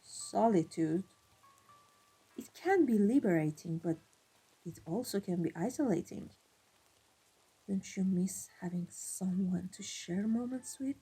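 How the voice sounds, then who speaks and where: Woman playing a husky, menacing mafia boss lady. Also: medium pitch, lively intonation, warm tone, exaggerated voice, low-quality recording. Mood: intrigued, probing. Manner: deliberate, measured, imperious. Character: cold, commanding, menacing female vampire mafia boss. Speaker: ASMR roleplay voice actor. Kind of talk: ASMR roleplay drama. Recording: music behind the voice. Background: classical background music.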